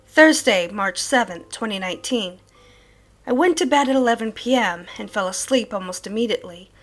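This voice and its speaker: A woman playing a troubled thirty-one-year-old, reading her sleep journal as grave, deliberate first-person horror narration. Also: medium pitch, natural voice.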